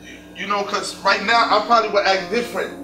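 A man's voice: high-pitched, steady tone, fairly quick, close to an everyday voice. An actor playing a slangy, earnest, streetwise young man in a gospel stage play. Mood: grieving, grateful. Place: indoor stage in a furnished hall. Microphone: distant camera. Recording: distant echoey stage recording with music.

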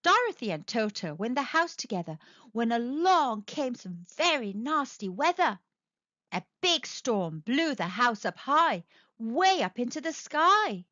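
A high, bright woman's voice narrates a children's read-aloud story. She is very animated in her storytelling. The recording is dry and a little dull. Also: measured pace, dry recording.

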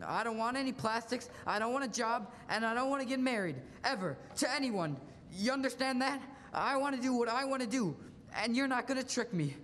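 A man's voice: very high voice, lively intonation, a little fast, a bit bright, a little hiss or hum. A young man playing a restless, stubborn small-town man in a live radio play. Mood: angry, defiant. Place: large auditorium stage, standing at mic. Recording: reverberant school auditorium, music behind.

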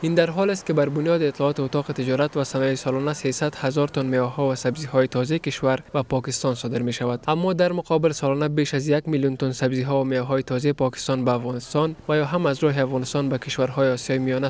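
Man reading a news report voice-over. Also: medium pitch. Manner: even, formal.

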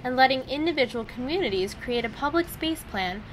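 Brief: young female reporter, high voice, clear street noise under her read, measured delivery. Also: expressive, low-quality recording. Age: young adult. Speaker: student reporter. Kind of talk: scripted news narration. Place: outdoors in a city park with traffic.

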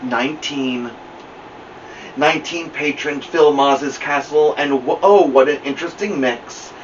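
A middle-aged man reading a children's picture book aloud as a storytime host, with dramatic, deliberate delivery. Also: fairly high pitch. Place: indoor room at home, seated by a plant. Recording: muffled, noisy, distant camera mic.

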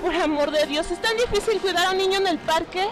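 A woman's voice: very high voice, quick pace, over music. A woman with a Latin American accent playing a distraught, grieving mother in a video game dub. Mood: reproachful, anguished. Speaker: fan dub voice actor.

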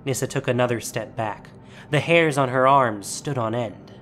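A man giving steady, solemn scripted narration of a fantasy story. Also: medium pitch, moderate pace, a little hiss or hum.

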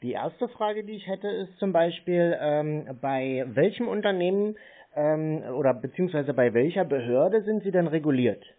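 A man's voice: on the high side, expressive, normal speed. A man in a casual phone conversation, dryly and persistently questioning the caller with scepticism. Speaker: prank caller questioning a scammer. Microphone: telephone. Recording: tinny band-limited phone line.

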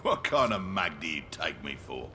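An actor playing a cocky, sleazy mercenary crime boss in a video game, with a rough Australian accent and a taunting manner. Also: male voice, extremely low pitch, very animated, moderate pace, very noisy. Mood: sardonic. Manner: taunting, sardonic. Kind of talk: video game character banter. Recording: game audio over loud ambience and music.

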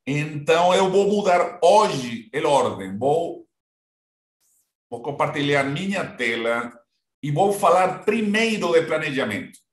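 Trainer, male, 40s, Venezuelan accent, halting delivery with repeats, a touch high, roomy echo. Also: expressive. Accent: Venezuelan Spanish. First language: Spanish. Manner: halting, repeats words, mixes in Spanish. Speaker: real estate trainer. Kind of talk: online training session. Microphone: laptop mic on a video call.

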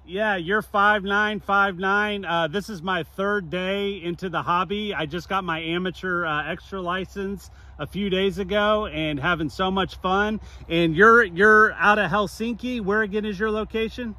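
American man, middle-aged, higher-pitched. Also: fairly even intonation, unhurried, few pauses, sharp tone. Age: 40s. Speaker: novice amateur radio operator, vlogger. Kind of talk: casual chat.

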